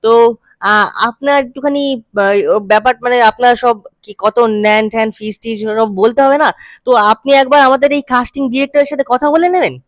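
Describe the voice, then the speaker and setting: A man's voice: very high-pitched, normal speed, fairly bright tone, dry recording. A man voicing an over-polite, bumbling production-house assistant on a prank call, rambling and hesitant. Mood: eager, polite. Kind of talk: prank phone call improvisation.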